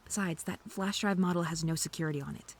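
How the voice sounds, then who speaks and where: A woman's voice: fairly low pitch, fast, gravelly, slight background noise. A woman playing a snarky, defensive female hacker under interrogation in an audio drama, sarcastic and indignant. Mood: flustered, defensive.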